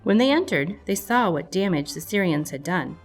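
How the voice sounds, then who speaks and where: A woman's voice: on the low side, lively intonation, moderate pace. A woman reading a scripted storybook narration for children. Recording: music playing behind the voice. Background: music.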